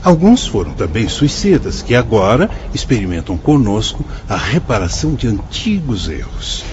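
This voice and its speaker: A calm, wise spirit instructor. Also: male voice, fairly low pitch, a little hiss or hum. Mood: patient, instructive. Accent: Brazilian Portuguese. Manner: measured, patient and explanatory. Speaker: spirit guide explaining the colony. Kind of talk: radio drama acting. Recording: dull, muffled sound.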